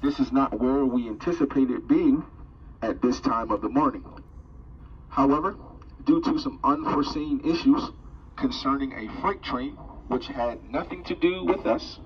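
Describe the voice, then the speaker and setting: A man's voice: mid-range voice, slight background noise. A man making a formal announcement as train conductor over the public address system. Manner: formal, measured announcer phrasing. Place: train car. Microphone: train PA system, picked up by a phone camera. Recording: distant muffled PA speaker filmed on phone.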